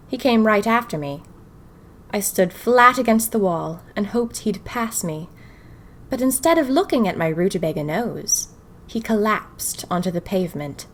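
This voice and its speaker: A woman's voice: medium pitch, slight background noise, natural voice. A woman playing a dreamy, self-dramatizing young 1920s woman who confides her diary in an audio drama. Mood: dreamy, wistful. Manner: wistful, confiding, wryly dramatic. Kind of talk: first-person diary audio fiction.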